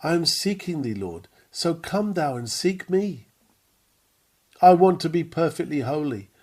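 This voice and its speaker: A man reading a sermon aloud in an earnest, measured, emphatic preaching manner. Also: a little high, expressive. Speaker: reader of a printed sermon. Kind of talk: read-aloud sermon, devotional.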